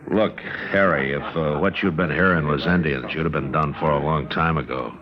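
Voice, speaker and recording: Steady frontier lawman, very low and unhurried, 1950s radio western, dull sound with crowd noise. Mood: dry, skeptical. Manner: unhurried, folksy, dry. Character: steady, skeptical US marshal in the Old West. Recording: muffled old radio broadcast, crowd behind.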